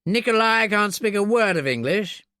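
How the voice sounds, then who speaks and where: A man's voice: high-pitched, lively intonation, moderate pace, pushed and bright, clear, resonant tone, no room sound, clean studio sound, pitched above the performer's own voice. A man voicing a blustering, indignant military officer in an audiobook, with a pompous, sneering, emphatic manner. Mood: defensive bluster.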